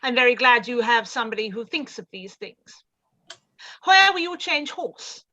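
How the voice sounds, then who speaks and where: Brief: imperious aristocratic dowager, played by a woman, condescending, crisp and forceful, lo-fi mic. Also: a little high, very animated. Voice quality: haughty, condescending, drawn-out. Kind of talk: live-read radio play.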